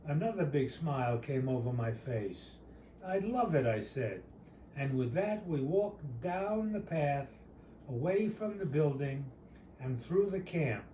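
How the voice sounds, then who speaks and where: A man reading his own short story aloud as its author, in a measured, deliberate storytelling manner. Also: fairly high pitch, animated, mellow. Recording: roomy, distant mic, faint noise.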